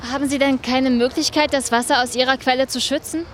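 Actress as higher-pitched documentary reporter. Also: female voice, steady tone, slight background noise, lightly acted. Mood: probing. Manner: measured, factual. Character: composed female documentary reporter. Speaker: narrator and interviewer. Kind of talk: scripted documentary narration in radio drama.

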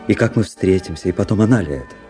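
A man's voice: deep voice, expressive, fast talker, over music. An actor playing a brooding, world-weary officer who tells his own story introspectively in a literary radio drama. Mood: melancholy brooding. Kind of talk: literary first-person narration in a radio play.

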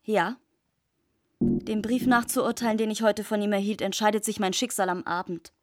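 An actress playing a proud, earnest young gentlewoman, speaking formally in a literary radio drama. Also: mid-range voice, level tone, moderate pace, no room sound, clean studio sound, faint music behind, natural voice.

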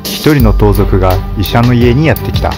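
A man narrating a fairy tale in a steady, measured scripted reading. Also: mid-range voice, music in the background.

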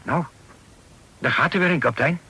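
A man's voice: on the high side, normal speed, pushed and bright, husky, heavily acted. A man playing an anxious, deferential young sailor who talks in colloquial questions in an old radio play.

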